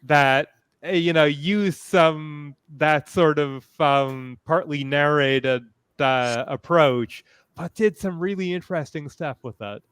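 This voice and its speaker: A man speaking as a panelist in a fan discussion, hesitant and thoughtful, often halting as he phrases things. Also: a little high, a bit bright, clear, resonant tone, no room sound.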